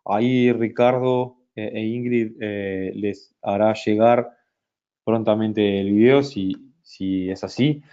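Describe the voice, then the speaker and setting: A man presenting a software product in a webinar, talking slowly with many fillers and restarts. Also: lowish voice, clear, resonant tone, highs cut off. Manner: hesitant, many fillers and restarts, speaks slowly in Spanish for a Brazilian audience.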